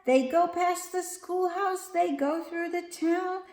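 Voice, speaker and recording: A woman teaching young children, reading a picture book aloud at home in a slow, gentle, sing-song way. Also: very high-pitched, steady tone, reverberant.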